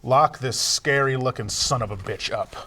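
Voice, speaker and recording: An actor playing a gruff, impatient police lieutenant, an anti-hero, at a live screenplay table read. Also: male voice, medium pitch, normal speed, bright, forceful tone. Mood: menacing.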